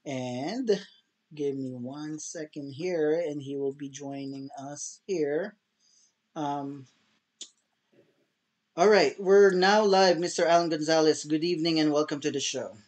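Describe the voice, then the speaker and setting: Higher-pitched man hosting a show. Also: slow, darkish tone. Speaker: show host. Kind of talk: semi-formal online show hosting.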